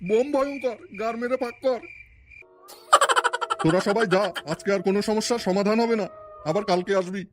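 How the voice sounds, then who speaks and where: A man's voice: sits high, a little fast, pauses often, background noise, natural voice. A man voicing a pompous, crude, bossy older-male character in a comic cartoon. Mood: pompous, scheming. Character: pompous lecherous fake holy man, later grumbling foul-mouthed father.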